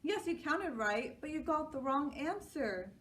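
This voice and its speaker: A woman's voice: high voice, expressive, measured pace, a bit bright, pitched above the performer's own voice. A woman voicing a firm but patient female schoolteacher in a children's storybook, emphatic and instructive. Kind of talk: children's storybook read-aloud. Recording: distant mic, clear background noise.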